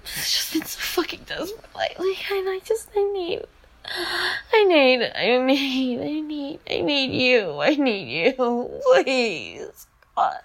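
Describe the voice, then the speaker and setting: A woman in bed at night, exhausted, talking in a breathy, whispery, trembling voice that breaks into moans. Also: high voice, sing-song, slow. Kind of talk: intimate erotic audio monologue.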